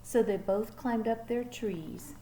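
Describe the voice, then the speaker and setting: Slow gentle woman reading to children. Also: a little high, slight background noise, low-quality recording. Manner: gentle, asks young listeners questions, drops to whispers. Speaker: teacher reading aloud. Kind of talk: storybook read-aloud to children.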